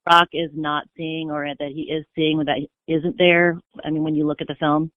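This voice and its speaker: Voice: mid-range voice, little pitch movement, normal speed, no echo. Dry-sounding reporter on a narrow phone line. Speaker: sports reporter. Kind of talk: press call questioning.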